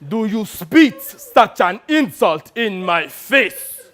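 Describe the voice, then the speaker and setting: A man playing a pompous, outraged African warlord general, booming and theatrical in his indignation. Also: expressive, measured pace, fairly bright tone, exaggerated voice. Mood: outraged, imperious.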